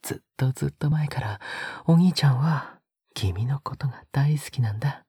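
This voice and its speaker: Breathy, whispering young man, played by an actor. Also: mid-range voice, normal speed, mellow, dry recording, studio quality. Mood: pleading, affectionate. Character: soft-spoken, doting, possessive young man posing as big brother. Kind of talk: intimate close-mic audio drama acting.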